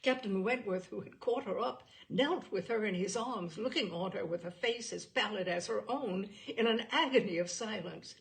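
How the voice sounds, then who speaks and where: A woman reading a classic novel aloud as an audiobook narrator, with expressive, dramatic and deliberate phrasing. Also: medium pitch, gravelly, poor audio.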